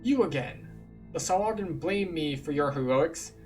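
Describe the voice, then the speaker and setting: A man's voice: a little high, lively intonation, moderate pace, a little mellow, soft background music, pitched above the performer's own voice, lightly acted. A man voicing a bitter, irritated traitor in a fantasy game, accusing and confrontational.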